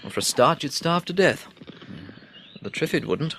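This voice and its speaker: An actor playing a shrewd, thoughtful expert with an English accent and a measured, foreboding manner in a classic radio drama. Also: male voice, fairly high pitch, animated, gravelly, bright, forceful tone, slight background noise, full character voice. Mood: ominous. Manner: measured, musing, probing. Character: thoughtful, foreboding male triffid expert, shrewd and matter-of-fact.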